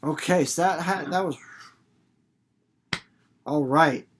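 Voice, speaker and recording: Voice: mid-range voice, slow, slight background noise. Casual, rambling literature teacher, theatrical and playful. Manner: rambling, theatrical, with acted-out examples and sound effects; informal, playful, repeats words for emphasis. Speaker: reader and literature teacher hosting a read-aloud video series. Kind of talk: casual read-aloud commentary and explanation for students.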